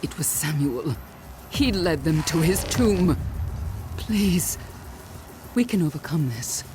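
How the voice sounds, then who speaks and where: An actress playing a fervent, scheming scientist villain in a sci-fi game, with deliberate, intense delivery. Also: female voice, low voice, sing-song, background noise, heavily acted. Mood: pleading, insistent. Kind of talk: cinematic sci-fi game voice acting.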